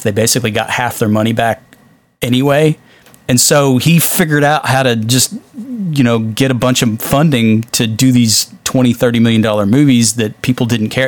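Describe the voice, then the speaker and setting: A man hosting a casual podcast discussion of films, talking with animated exasperation and swearing freely. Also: medium pitch, no room sound. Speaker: podcast host.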